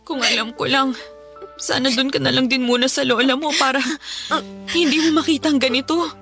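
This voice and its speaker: High, bright long-suffering mother. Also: fairly even intonation, a little fast, gravelly, soft background music. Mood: wounded, resolute. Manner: emotional, tearful, determined. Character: long-suffering, fiercely protective mother of two. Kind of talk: Filipino radio melodrama acting.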